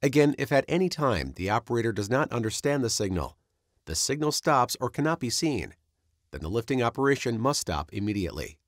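A man reading scripted instructional narration as a training presenter, in a measured, instructive manner. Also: medium pitch, sing-song, dry recording, clean studio sound.

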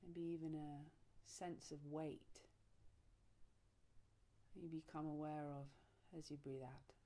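A woman leading a guided relaxation meditation, soothing and unhurried, speaking in short phrases with long pauses. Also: low voice, fairly even intonation, dark tone. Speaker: yoga nidra teacher, meditation guide.

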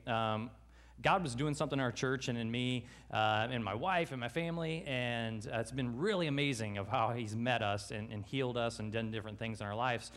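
A man in his thirties preaches as a guest pastor on a large church stage. He keeps it loose and joking, full of ums and likes. He talks into a headset mic at a middle pitch. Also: a bit bright. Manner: chatty, joking, lots of fillers. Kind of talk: casual sermon.

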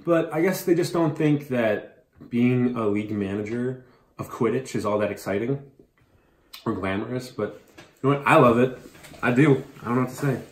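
A young man playing a bumbling, awkward league manager in a mockumentary, rambling with deadpan asides while giving a house tour. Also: mid-range voice, moderate pace, lightly acted. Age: young adult. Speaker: mockumentary lead. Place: family house rooms and garage, indoors. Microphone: handheld camera a few feet off. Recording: roomy home echo, faint hiss.

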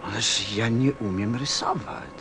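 A man's voice: on the low side, a little slow, background noise. An actor playing a stranded pilot in a radio play, puzzled but patient, musing and hesitant as he talks.

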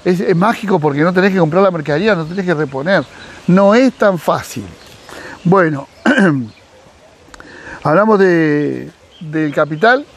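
An animated middle-aged man with an Argentine Spanish accent, his voice sitting high for a man. He talks casually to a camera close by in a sunny garden, gesturing as he goes and acting out quoted dialogue. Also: measured pace, pauses often. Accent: Argentine Spanish, Mar del Plata. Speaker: fish breeder and YouTuber. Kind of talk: casual advice vlog. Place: outdoors in a sunny garden by a pool. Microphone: camera at close range.

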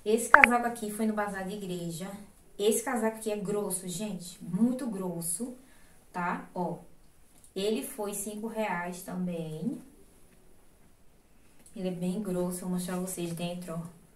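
A woman's voice: medium pitch, measured pace, pauses often, bright, forceful tone, reverberant, far from the mic, a little hiss or hum. A young Brazilian woman in her twenties chats casually as she shows thrifted coats to the camera, checking in with her viewers. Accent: Brazilian Portuguese. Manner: chatty, affectionate, tag questions like "tá?". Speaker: vlogger. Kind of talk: casual thrift haul showing clothes. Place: small indoor room with clothes rack.

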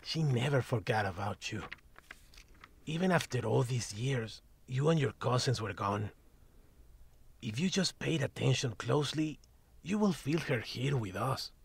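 A sarcastic male talking cat from an audio drama, as a man voices him, gravelly and husky with a gritty rasp under every line. He is blunt and swears freely, and the recording is close and dry. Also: medium pitch, animated, a little slow, no echo, exaggerated voice. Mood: gentle. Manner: sarcastic, blunt, swears, drops Spanish words. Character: grumpy foul-mouthed male talking cat. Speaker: magical shop cat.

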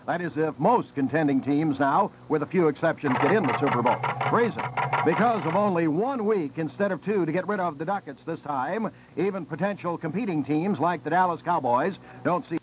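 A high-voiced man reads radio sports commentary in clipped, emphatic phrases. The old cassette recording of the broadcast is clearly noisy.

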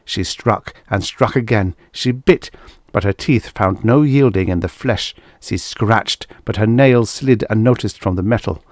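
A man reading literary science fiction as an audiobook narrator, with measured, expressive delivery and deliberate phrasing. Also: fairly low pitch, dry recording, studio quality.

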